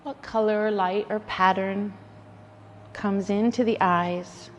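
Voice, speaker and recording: A woman leading a guided yoga nidra relaxation, speaking in a soothing, gentle way with unhurried pauses. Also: medium pitch, fairly even intonation. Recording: long reverberant hall, faint background noise.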